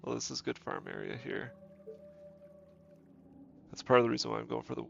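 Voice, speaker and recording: A man with a cold, his nose stuffy, talks through a strategy game in easygoing commentary, thinking aloud and correcting himself as he goes. His voice is gravelly, with heavy fry, at a middle pitch. The stream audio sounds dull and lo-fi, with faint game music behind him. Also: moderate pace. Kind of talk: casual gameplay commentary.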